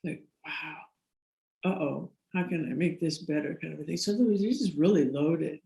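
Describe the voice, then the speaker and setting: A woman's voice: deep voice, moderate pace, gravelly, warm tone. American woman telling stories in a roomy echo. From United States. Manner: rambling, laughs often, many restarts. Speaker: guest. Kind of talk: casual interview storytelling.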